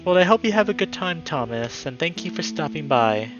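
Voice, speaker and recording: A man's voice: a little high, brisk, dull audio, music in the background. A man speaking as a live streamer, moving between friendly chat and reading a story aloud. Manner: upbeat, friendly, excitable when greeting viewers.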